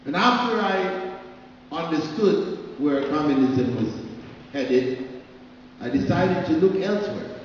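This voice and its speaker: A middle-aged man giving a public lecture at a podium microphone in a large hall, speaking slowly with long pauses between phrases. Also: on the high side, lively intonation, a little hiss or hum. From Canada. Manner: slow, deliberate, pausing between phrases. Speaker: Islamic lecturer. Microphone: podium microphone on stand. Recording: echoey hall, distant, dull audio.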